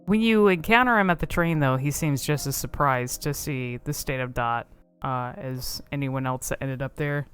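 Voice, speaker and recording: A woman's voice: rumbling low, normal speed, fairly bright tone, smooth, soft background music. A woman giving casual, off-the-cuff commentary on a video game in a rambling, informal, amused manner.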